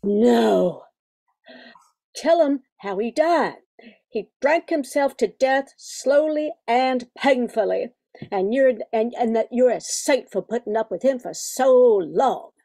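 A woman's voice: on the high side, animated, slow, vocal fry, warm tone, exaggerated voice. An older woman acting a spirited, protective grown daughter in an amateur radio play, speaking emphatically. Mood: shocked, indignant. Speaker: amateur radio drama performer.

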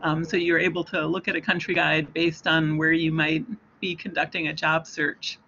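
A moderator with a gravelly, bright voice reads audience questions and adds short resource notes. The sound comes through a muffled video call. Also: medium pitch, level tone, normal speed. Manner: brief, reads questions aloud. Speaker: webinar moderator.

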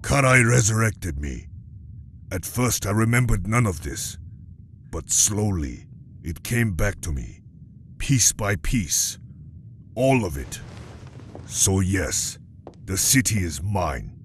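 An actor playing a ruthless middle-aged warlord villain in a comic dub, grave, deliberate and grandiose. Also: male voice, sits low, sing-song, exaggerated voice. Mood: brooding. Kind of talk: dramatic comic-dub voice acting. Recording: clear background noise.

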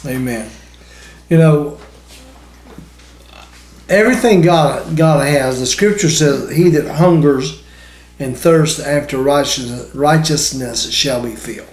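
A man's voice: fairly high pitch, takes it slowly, pauses often. A man, preaching informally and often searching for his words. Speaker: preacher, ministry co-host. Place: small indoor room. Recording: roomy, echoey indoor sound.

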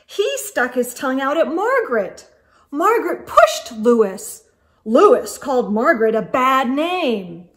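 Slow-paced middle-aged woman reading to children. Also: high-pitched, big pitch swings. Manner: deliberate, clearly phrased delivery aimed at young children. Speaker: children's storytime host reading aloud. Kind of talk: expressive picture-book read-aloud for children. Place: indoor room with bookshelves.

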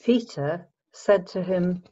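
A woman narrating a dramatic scripture reading in a measured way. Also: lowish voice, dark tone. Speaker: narrator, church member. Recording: dull lo-fi home recording.

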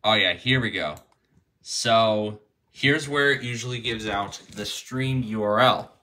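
A man's voice: fairly low pitch, unhurried, bright, forceful tone, a little hiss or hum. A man giving a casual hands-on tutorial as its host, thinking aloud as he works through the steps indoors. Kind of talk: casual hands-on app demonstration.

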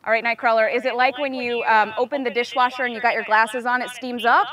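A woman's voice: a little high, steady tone, quick pace, fairly bright tone. A young woman doing a casual, playful interview as a team reporter, chatty and upbeat, outdoors. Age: young adult. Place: outdoors in stadium stands.

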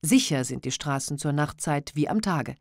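A woman with a fairly low voice reads classic literature aloud. Her narration is measured and expressive, recorded close and dry with no room sound. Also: no echo. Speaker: audiobook narrator.

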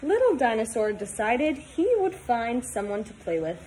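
A woman in her twenties reading a storybook aloud to young children, talking in simple, sing-song, animated phrases. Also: fairly high pitch, normal speed, a little hiss or hum. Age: 20s. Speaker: teacher reading aloud to pupils.

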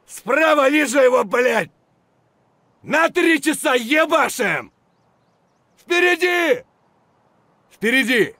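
Aggressive mercenary soldier. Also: male voice, extremely high pitch, very animated, slow pace, pauses often, pushed and bright, no echo, full character voice. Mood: urgent, aggressive. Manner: short, punchy tactical callouts, coarse. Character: aggressive, foul-mouthed Russian mercenary soldier in combat. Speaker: video game voice actor. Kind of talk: military shooter combat barks.

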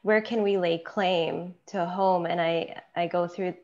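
A woman moderating a video call panel, talking in a measured, reflective way. Her voice sits a little low, and it comes through dull, lo-fi call audio from an earbud headset at home. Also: fairly even intonation, darkish tone. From Kenya. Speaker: panel host.

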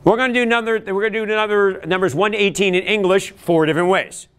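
A middle-aged man from Canada running an energetic leadership workshop in a large classroom, upbeat and animated, calling out loudly to the room, recorded by a camera across the room. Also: sits high, fast talker, clean tone. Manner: upbeat, animated, with sudden loud calls to the room. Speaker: leadership trainer. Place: large classroom, standing before a crowd of students. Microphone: far camera.

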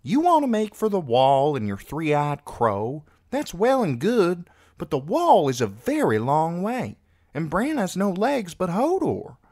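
Feisty teenage girl, played by a man, argumentative and insistent, pitched high, for a storybook. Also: wildly swooping pitch, unhurried, darkish tone, full character voice.

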